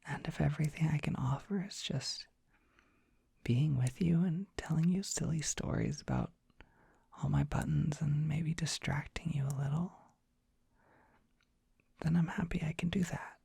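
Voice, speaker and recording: A performer voicing a gentle, shy young dragon boy in an ASMR comfort roleplay, speaking in a soft breathy whisper with a hesitant, tender, soothing manner. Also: mid-range voice, sing-song, moderate pace, pauses often, no echo, clean studio sound, heavily acted. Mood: earnest reassurance.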